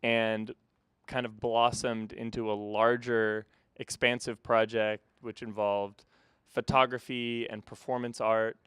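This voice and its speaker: Smooth-voiced, measured man filmmaker. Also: fairly low pitch, little pitch movement, fairly bright tone, no echo, studio quality. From California. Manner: measured, explanatory, some fillers. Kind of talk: casual interview answers.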